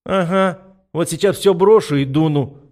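A man's voice: on the high side, moderate pace, darkish tone, pitched above the performer's own voice, close to an everyday voice. A man voicing a tired, irritable young woman schoolteacher who grumbles in an audiobook. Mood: irritated. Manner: grumbling, snappy.

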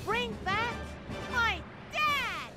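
Cartoon boy, woman acting: very high, eager, slow deliberate delivery over music. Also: lively intonation, exaggerated voice. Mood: hopeful. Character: earnest, eager young boy. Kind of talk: parody anime dub.

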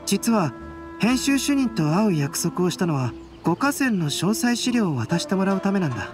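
A man voicing a calm, polite young painter in an anime game, with a composed, soft-spoken, reserved manner. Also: high-pitched, normal speed, over music. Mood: mildly troubled. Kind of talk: Japanese anime game voice acting.

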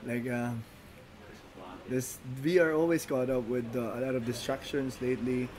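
Filipino man, non-native speaker, podcast guest, halting delivery, busy crowd chatter behind. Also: mid-range voice, fairly even intonation. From Philippines. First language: Filipino languages. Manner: halting, many restarts and fillers. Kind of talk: casual chat.

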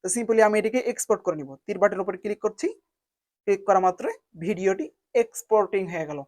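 A woman narrating a step-by-step software tutorial in an instructional manner, spelling out each step in repeated phrasing. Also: medium pitch, quick pace, dry recording, clean studio sound. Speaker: video editing tutorial host.

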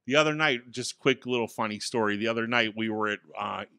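A man in his forties, co-hosting a laid-back tech podcast, talks in an easygoing, self-deprecating way. His voice is bright and crisp. The sound is dry and close on a studio boom mic in a small treated room. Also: fairly quick, no echo. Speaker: podcast co-host. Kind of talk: casual tech podcast chat. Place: small home studio with acoustic panels. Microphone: studio mic on boom arm.

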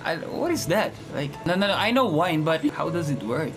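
A man in his twenties from the Philippines chatting with strangers on video and singing slow ballads to them. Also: on the high side, sing-song. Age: 20s. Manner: sings slow ballads to guitar, then flirts with quick questions. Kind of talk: casual video chat with sung serenades. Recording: loud guitar music behind the voice.

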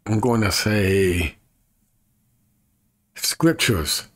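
A man in his fifties with a husky voice, chatting casually to camera in a folksy way and repeating himself as he demonstrates. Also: on the low side, lively intonation, extremely slow, fairly bright tone, no room sound. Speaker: evangelist and video creator. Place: small bedroom, indoors.

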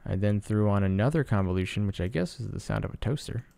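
A man presenting a casual sound design tutorial in a low-key, explanatory way. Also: lively intonation, fast, creaky, dark tone. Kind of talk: casual screen-recorded tutorial narration.

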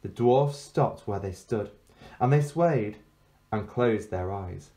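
Male teacher as storyteller: unhurried, animated intonation, expressive fairy-tale narration. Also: on the low side. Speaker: teacher reading a story to pupils.

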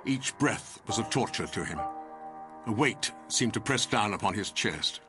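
A man's voice: fairly low pitch, expressive, measured pace. Male narrator over music. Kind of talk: scripted literary narration.

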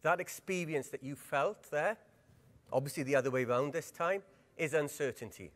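A Welsh man in middle age presents a workshop at a conference, his voice sitting a little high. He speaks in a lively, engaging way and keeps asking the audience questions. His voice rings out with the long reverb of a large hall. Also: moderate pace.

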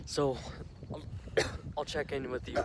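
A young man vlogging casually on a phone held at arm's length, joking and chattering quickly. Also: mid-range voice, little pitch movement, very noisy. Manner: goofy, jokey, hurried chatter. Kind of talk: casual vlog. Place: house rooms and outdoors at night.

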